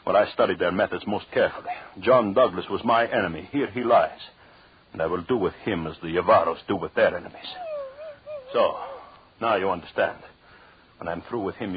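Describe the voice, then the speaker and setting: An actor playing a jealous, murderous husband in an old radio drama, with a theatrical, mocking menace and formal phrasing. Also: male voice, low voice, natural voice. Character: vengeful, jealous husband, cruelly gloating murderous anthropologist. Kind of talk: 1940s radio drama acting. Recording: dull, lo-fi old radio recording.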